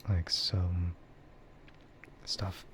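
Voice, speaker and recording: A man chatting casually in a soft, half-whispered ASMR style, rambling and hesitant as he streams close to the microphone. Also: sits low, flat delivery, very slow, a little hiss or hum. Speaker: VTuber streamer.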